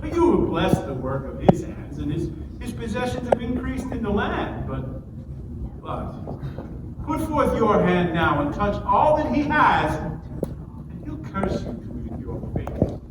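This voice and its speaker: A man's voice: sits high, very animated, takes it slowly, exaggerated voice. A man playing Satan as a sly, challenging tempter in a dramatic scripture reading. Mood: goading. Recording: distant, very echoey noisy hall recording.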